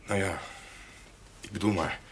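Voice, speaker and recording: An actor playing an earnest, awkward young farmhand in a television drama, speaking in a hesitant, apologetic manner. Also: male voice, low-pitched, unhurried, a little hiss or hum. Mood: hesitant, uneasy. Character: earnest, awkward young farmhand asking for his job back. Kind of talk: naturalistic television drama dialogue.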